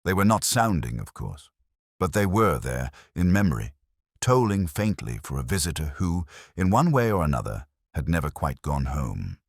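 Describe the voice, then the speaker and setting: Male narrator for literary detective fiction: extremely low voice, expressive pitch movement, measured brooding delivery, dry recording. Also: no echo. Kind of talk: scripted first-person detective story narration.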